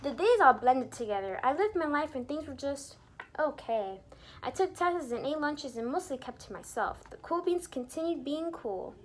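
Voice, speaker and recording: A woman reading a picture book aloud to young children in an expressive, teacherly way, pausing to explain things and put questions to the listeners. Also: sits high, a little hiss or hum. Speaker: children's storybook reader and teacher. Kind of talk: animated read-aloud for young children with asides explaining words.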